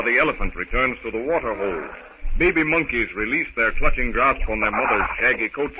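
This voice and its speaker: A man's voice: fairly low pitch, sharp tone, muffled recording. A man giving florid, dramatic scripted narration in the manner of a 1930s radio adventure serial. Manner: dramatic, declamatory storytelling. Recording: scratchy, band-limited 1930s radio recording.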